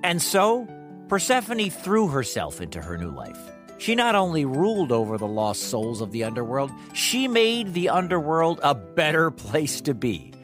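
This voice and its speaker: A man narrates children's stories as host. His voice is a little high and swings widely in pitch, dropping into chatty asides. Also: wildly swooping pitch, moderate pace, fairly bright tone, faint music behind. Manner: conversational asides. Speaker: host and storyteller. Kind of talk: animated scripted storytelling for children.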